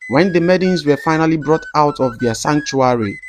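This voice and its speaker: A man reading a scripted folktale as a narrator, in a measured, dramatic storytelling delivery. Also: on the high side, lively intonation. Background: background music.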